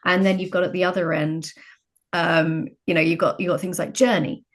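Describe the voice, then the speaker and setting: A woman's voice: fairly low pitch, level tone, moderate pace. A woman speaking as a podcast guest, telling anecdotes and examples in a conversational, reflective way. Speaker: podcast guest, games writer.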